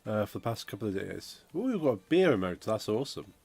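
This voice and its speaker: A man, croaky from a cold, chatting casually on a game dev stream and reading out chat. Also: lowish voice, big pitch swings, fast, darkish tone. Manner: rambling, chatty, reads out chat messages. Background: funky music playing.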